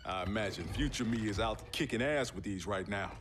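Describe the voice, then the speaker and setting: A tough but sincere young American soldier in a game story, acted by a man. His voice is on the low side. Clear ambient noise surrounds the dialogue. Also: brisk, close to an everyday voice. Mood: cocky. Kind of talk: video game cutscene acting.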